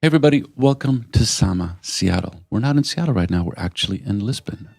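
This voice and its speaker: A man's voice: fairly low pitch, animated, quick pace, creaky, a little mellow, no echo. A man hosting a radio interview, talking with enthusiasm and telling stories in a rambling way. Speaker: radio show host. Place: venue in Lisbon with music behind.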